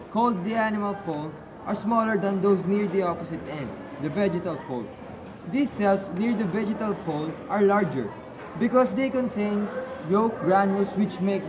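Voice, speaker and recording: Casting: male student presenter, high voice, reading textbook-style script amid a chattering crowd. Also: low-quality recording. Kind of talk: reading scripted biology explanation. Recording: chatter of people in the background.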